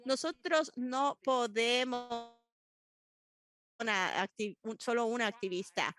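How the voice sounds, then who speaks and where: A woman working as a simultaneous interpreter for a panel discussion, speaking in a halting way with frequent restarts and self-corrections as she keeps up with the speakers. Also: a little high, a bit bright.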